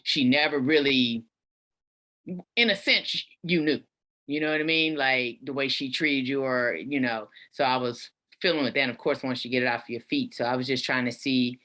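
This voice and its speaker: A woman's voice: a bass voice, moderate pace, fairly bright tone. A woman actor in a casual discussion on a video call, informal and searching as she thinks aloud. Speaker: actor at a table read. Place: indoors on a virtual meeting.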